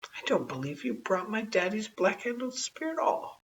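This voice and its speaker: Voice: mid-range voice, very animated, moderate pace, far from the mic, highs cut off, a little hiss or hum, pitched above the performer's own voice. An adult storyteller voicing a small, outspoken girl, innocent and indignant, in storybook dialogue.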